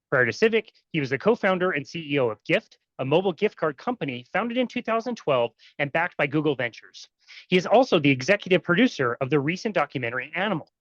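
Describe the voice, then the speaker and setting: A man's voice: a little high, fairly bright tone, no echo, highs cut off. A man hosting a podcast interview, enthusiastic and full of praise for his guest. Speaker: podcast host.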